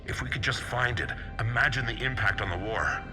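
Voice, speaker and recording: A man playing an earnest, driven senior scientist in a video game, measured and intent as he explains. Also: mid-range voice, over music, close to an everyday voice. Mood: intent, urgent. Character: earnest, driven senior male scientist, space-age doctor. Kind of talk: dramatic video game dialogue.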